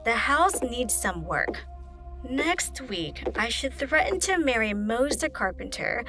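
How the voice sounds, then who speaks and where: A woman's voice: fairly high pitch, very animated, slow pace, over music, heavily acted. A woman playing a prim, sly and menacing spinster heiress in an audio play, with haughty, ornate phrasing. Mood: scornful, gloating. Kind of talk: theatrical audio play acting.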